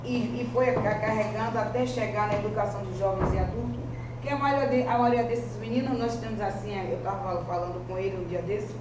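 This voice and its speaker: A woman's voice: mid-range voice, fairly even intonation, a little fast. A woman in her forties with a strong rural Bahian accent, a teacher presenting her research in an earnest, conversational way. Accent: rural Bahian Brazilian Portuguese. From rural Bahia, Brazil. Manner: earnest, conversational, with frequent restarts. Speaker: teacher and master's student. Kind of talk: research seminar presentation, spoken freely. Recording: echoey, distant, noisy room audio.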